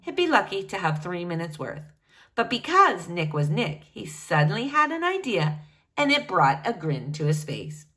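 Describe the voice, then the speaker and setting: A teacher reading a chapter book aloud to young children, with an animated, expressive storytelling manner. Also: female voice, fairly low pitch, moderate pace. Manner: animated, expressive, singsong address to kids.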